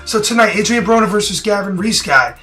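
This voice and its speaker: Raspy hoarse guy rambling his opinions, kinda high and bright, some music under it. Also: expressive, brisk. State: hoarse from yelling. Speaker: boxing prediction vlogger. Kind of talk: casual direct-to-camera talk.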